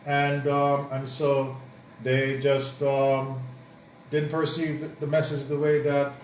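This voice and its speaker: A man talks through his ideas slowly, pausing on ums and restarting sentences. His voice sits at a middle pitch and sounds set back from the mic. The room rings with echo, and a faint hiss sits under the speech. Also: monotone. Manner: thoughtful, halting with many ums and restarts. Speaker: co-host, married partner. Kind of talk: casual chat on marriage advice. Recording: roomy echo, distant mic, faint noise.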